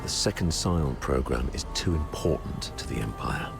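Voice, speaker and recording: An actor playing a grave, composed military commander who bargains in a deliberate, measured way, in a dramatic game cutscene. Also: male voice, very deep voice, creaky, over music. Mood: solemn, bargaining.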